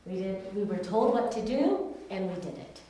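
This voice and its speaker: Woman from Taiwan, raised in California, candid testimony, faint background hiss, medium pitch. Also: lively intonation, moderate pace, dark tone. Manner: earnest, candid storytelling. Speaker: speaker giving a personal testimony. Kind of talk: personal testimony storytelling. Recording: very echoey room, distant mic, faint noise.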